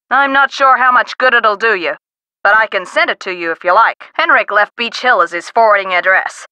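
A woman's voice: fairly high pitch, sharp tone, dry recording. A woman voice-acting a friendly, businesslike staffer on the phone in a video game.

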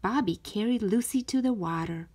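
A woman narrating a children's story aloud in an expressive, careful storytelling manner, as a storytime host. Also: mid-range voice, normal speed, breathy edge. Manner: animated, careful storytelling delivery.

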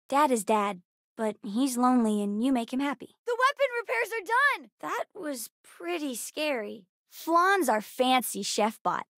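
A woman voicing a bright, bookish, chatty young girl with an American accent, as a video game character. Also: fairly high pitch, no echo, clean studio sound. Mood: subdued, worried. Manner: chatty, expressive, youthful.

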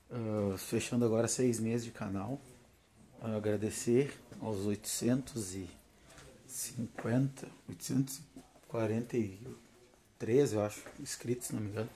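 A man's voice: fairly low pitch, mellow, a little hiss or hum. A man in his thirties with a southern Brazilian accent, vlogging casually into a phone at arm's length. He is tired and nervous, chatting loosely and laughing often. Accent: southern Brazilian Portuguese. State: tired from no sleep. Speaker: paranormal vlogger. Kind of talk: casual selfie vlog. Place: home porch, then inside a car. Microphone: phone held at arm's length.

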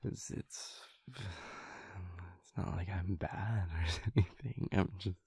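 A man playing an affectionate, dreamy telepath boyfriend in an intimate audio roleplay, in a breathy, halting, rambling way, close to the microphone. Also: deep voice, a little mellow, no room sound, full character voice. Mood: tender, giggly. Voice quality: breathy, husky whisper. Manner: halting, rambling, drowsily giggly. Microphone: close, dry studio microphone.

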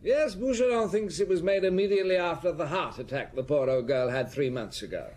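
A man's voice: fairly high pitch, animated, fairly quick, darkish tone, natural voice. A man playing a breezy, profligate count in a radio drama, flippant and wry. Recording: old radio, faint noise.

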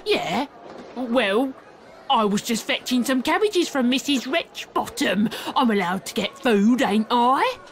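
A woman's voice: a little high, lively intonation, a little slow, slight background noise. An actress playing a nosy, teasing village woman with a British accent and colloquial, mocking talk in a comic audio drama. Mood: cheeky, mocking.

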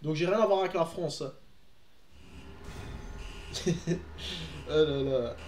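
A man's voice: a little high, takes it slowly, darkish tone, echoey room, a little hiss or hum. A young Belgian man, a native Dutch speaker, chatting casually with his live-stream audience while playing, with lots of fillers and restarts. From Belgium, Antwerp. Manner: chatty, answers chat, frequent fillers and restarts. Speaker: gaming streamer. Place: room at home, indoors.

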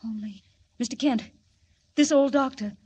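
An anxious young woman in an old radio serial. Her voice is high and earnest. She delivers her lines as breathless melodrama. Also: unhurried, mellow, breathy edge. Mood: anxious, suspenseful. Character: anxious young female ex-secretary, fearful for her brother. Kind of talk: 1940s radio acting. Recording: old radio drama recording.

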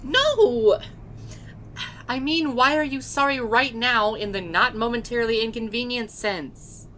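A woman acts out a chatty young woman scientist, part dog, in a fan dub drama. She is blunt and casual, with a bright, lively swing to her speech, over faint background noise. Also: a little high, a little slow. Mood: concerned, exasperated. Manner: casual, animated, exasperated at hesitation.

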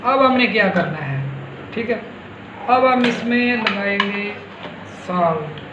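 High-voiced man in a noisy kitchen. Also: animated, slow. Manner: repetitive step-by-step explaining. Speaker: home cook presenting a recipe. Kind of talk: casual cooking demonstration. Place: small hard-walled home kitchen. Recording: dull, echoey phone recording, loud sizzling noise.

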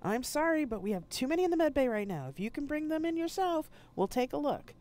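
Woman doing a curt busy medic on comms, higher voice. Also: big pitch swings, fast talker, a little mellow. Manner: curt, apologetic. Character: hospital dispatcher, curt and overworked.

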